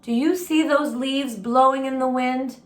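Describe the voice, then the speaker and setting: A woman's voice: sits high, steady tone, unhurried, voice pushed up. Woman reading as worried enslaved mother, roomy echo. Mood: grave, sorrowful. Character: enslaved mother, grave and worried for her children. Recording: roomy, echoing room sound.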